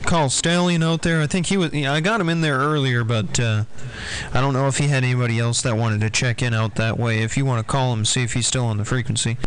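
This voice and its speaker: A man's voice: mid-range voice, steady tone, fast. A man chatting casually with other operators over CB radio. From United States. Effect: CB radio transmission. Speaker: CB radio check-in participant. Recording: noisy CB radio with hiss.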